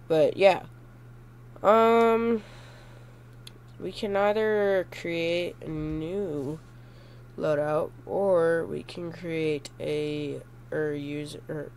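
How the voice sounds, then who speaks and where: Slow, stuffy young man gaming. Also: a little high, vocal fry, a little mellow, slight background noise. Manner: rambling, hesitant, goofy self-correcting. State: stuffy from a cold. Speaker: gaming YouTuber. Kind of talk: casual gameplay commentary.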